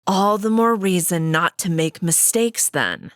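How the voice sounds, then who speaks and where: A woman's voice: lowish voice, a little slow, clean tone, dry recording, studio quality, lightly acted. A woman voicing the emperor's stern wolf sister, who corrects him curtly and bossily. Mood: annoyed. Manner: curt, scolding.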